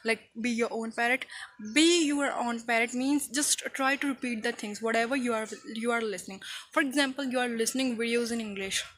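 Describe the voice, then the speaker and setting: A woman's voice: a little high, fairly quick, a bit bright, poor audio. A woman whose first language is Punjabi, teaching a casual English lesson in a chatty way, often checking in with "okay?" and explaining idioms.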